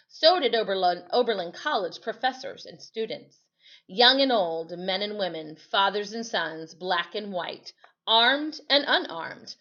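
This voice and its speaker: Expressive woman reading a story aloud. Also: medium pitch, highs cut off. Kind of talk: read-aloud of a history story.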